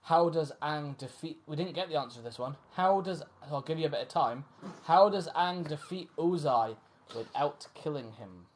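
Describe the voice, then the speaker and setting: Higher-voiced Scottish man hosting a quiz. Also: moderate pace. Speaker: quiz host. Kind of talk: live-streamed fan quiz hosting, casual chat.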